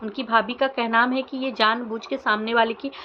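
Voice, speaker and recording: A woman's voice: on the high side, fairly even intonation, normal speed, muffled recording, slight background noise. A woman vlogger giving opinionated commentary to her viewers in an insistent way, with pointed rhetorical questions. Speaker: vlogger commenting on social media controversy.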